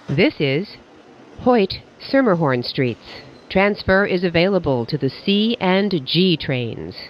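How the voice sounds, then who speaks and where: Slow formal subway announcer voice, clipped recorded announcements, muffled sound with noise behind it. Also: medium pitch. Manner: clipped, measured, formal phrasing. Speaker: train announcer. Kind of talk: scripted recorded transit announcements. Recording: dull lo-fi audio with clear background noise.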